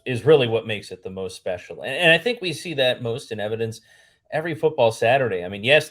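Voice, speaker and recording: A man from Pennsylvania with a lowish voice speaks as a podcast guest. His delivery is earnest and conversational, building up lists and returning to key phrases. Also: a bit bright. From Pennsylvania, United States. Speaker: public address announcer and podcast guest. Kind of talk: conversational interview answer.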